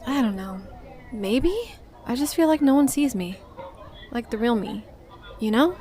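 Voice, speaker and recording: A woman's voice: on the high side, lively intonation, unhurried, a little mellow. A woman playing an earnest, overshadowed young girl in an audio drama, speaking hesitantly and sincerely. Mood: vulnerable. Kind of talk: heartfelt stage dialogue in audio drama.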